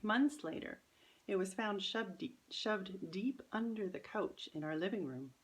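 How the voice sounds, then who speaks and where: A woman's voice: medium pitch, a little slow. A woman reading a children's story aloud as its author, in scripted first-person narration.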